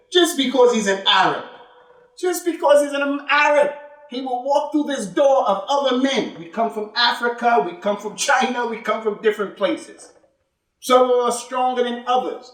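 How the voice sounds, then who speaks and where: A man's voice: high-pitched, a bit bright. A man giving an emphatic sermon in a mosque, repeating points and asking rhetorical questions, with Arabic religious terms mixed into his English. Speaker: imam giving Friday sermon. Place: mosque prayer hall. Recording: echoey hall, voice from some distance.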